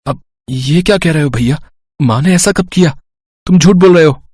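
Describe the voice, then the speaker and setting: A man's voice: on the high side, moderate pace, darkish tone, husky, dry recording, studio quality. A man playing an anxious, trusting younger brother and husband in a storybook tale, earnest and pleading. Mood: hurt protest.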